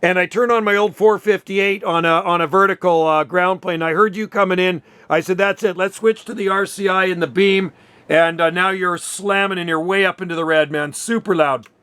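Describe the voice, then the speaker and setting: A man's voice: high-pitched, steady tone, moderate pace, bright, forceful tone, clean tone, no room sound. A man chatting casually and enthusiastically as a radio hobbyist in his radio room at home. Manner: enthusiastic, informal.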